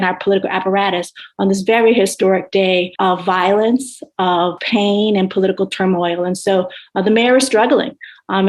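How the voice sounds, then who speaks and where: Female panel scholar with an average-pitched voice, telling historical accounts in a discussion setting.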